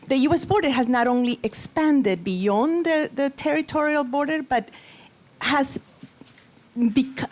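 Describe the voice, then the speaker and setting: A woman introducing the next speaker at an academic conference panel, hesitant and full of fillers. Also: on the high side. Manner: hesitant, many fillers and restarts. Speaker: panel moderator.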